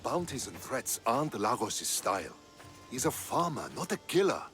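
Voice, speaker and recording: An actor playing a measured, principled male Spartan commander in a game cutscene who argues calmly and persuasively. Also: mid-range voice, soft background music. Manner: calm, persuasive. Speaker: Spartan general.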